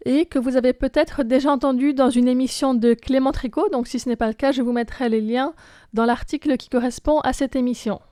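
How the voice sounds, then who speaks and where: A woman hosts a radio interview, her voice a little high. She asks her questions in a clear, measured way. The sound is dry and close, like a studio mic. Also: dark tone, dry recording. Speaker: radio host.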